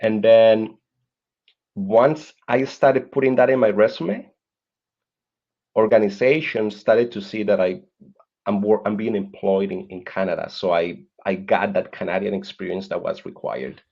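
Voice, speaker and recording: A man's voice: lowish voice, steady tone, a little slow, vocal fry, a little mellow. Colombian-accented man telling his story. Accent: Colombian Spanish. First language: Spanish. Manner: earnest, repeats words while searching. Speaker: podcast guest. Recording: dull lo-fi sound.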